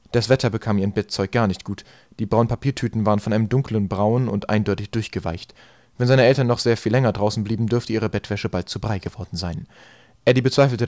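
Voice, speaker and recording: A man's voice: lowish voice, a little mellow, no room sound. A man narrating a storybook as a scripted audiobook reading. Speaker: audiobook reader.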